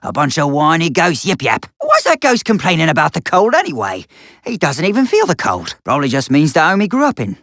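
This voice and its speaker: A man voicing a cranky, paranoid hermit creature in a video game, with folksy, grumbling, excitable speech. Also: a little high, a little fast, dry recording, clean studio sound, exaggerated voice. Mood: indignant. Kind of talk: video game character voice lines.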